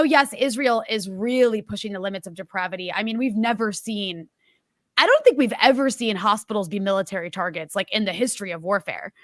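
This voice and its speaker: A woman's voice: medium pitch, fairly bright tone, no echo, studio quality. A woman in her thirties hosting an interview, talking in an emphatic, impassioned way. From United States. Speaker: host.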